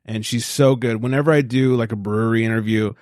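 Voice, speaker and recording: A man's voice: mid-range voice, fairly even intonation, quick pace, a little mellow, dry recording, studio quality. A man chatting as a podcast guest, laughing often and acting out quoted voices. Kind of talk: casual chat.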